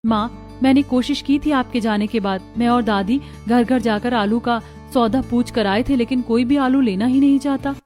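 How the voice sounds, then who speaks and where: A high-voiced young daughter , acted by a woman, in a dramatized moral story. She is dutiful, caring and earnest, with music behind her. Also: normal speed, hardly any pauses, darkish tone, close to an everyday voice. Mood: apologetic.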